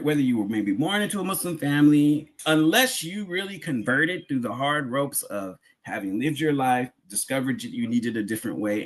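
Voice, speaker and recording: An American man giving a sermon-like public address, speaking in an emphatic yet conversational way with repetition and rhetorical questions. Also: mid-range voice. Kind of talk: sermon-like lecture to a congregation.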